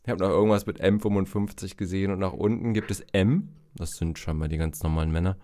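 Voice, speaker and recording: A man's voice: sits low, steady tone, fast talker, warm tone, dry recording. A man in his forties with a cold, chatting casually and rambling as a podcast host, laughing at himself. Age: 40s. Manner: rambling, self-mocking, laughs often. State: has a cold, coughing. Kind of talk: casual chat.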